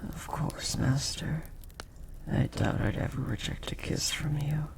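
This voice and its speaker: A man playing a devoted, lovestruck demon in an intimate audio roleplay, speaking formally, earnestly and with breathy hesitation. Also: a little high, fairly even intonation, measured pace, whispered. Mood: tender, yearning. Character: devoted, lovestruck powerful demon bound to a sorcerer.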